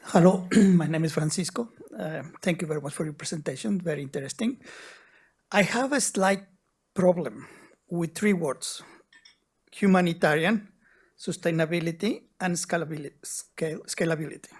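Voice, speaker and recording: A man from Mexico, an audience member putting a long, deliberate question to a seminar panel and telling a story to make his point. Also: on the high side, husky.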